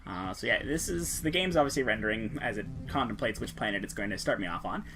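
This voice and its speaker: A man's voice: on the low side, animated, fast. A man hosting a casual let's-play, talking in a hesitant, rambling way with plenty of fillers and self-corrections. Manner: self-deprecating, easygoing. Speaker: host. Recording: game music playing underneath. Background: game music.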